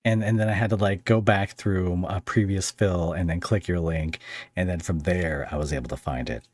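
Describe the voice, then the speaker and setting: Deep-voiced man hosting a casual podcast. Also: fairly even intonation, moderate pace, a little mellow, dry recording. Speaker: podcast host interviewing a guest. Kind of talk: casual conversational interview.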